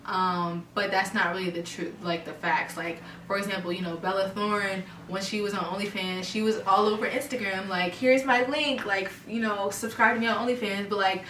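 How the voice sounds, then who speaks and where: A woman's voice: medium pitch, fairly even intonation, moderate pace, hardly any pauses, fairly bright tone, a little hiss or hum. A young adult woman from Baltimore, a guest in a casual interview, talking bluntly and frankly with plenty of swearing and fillers. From Baltimore, USA. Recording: echoey room, voice a bit far from the mic.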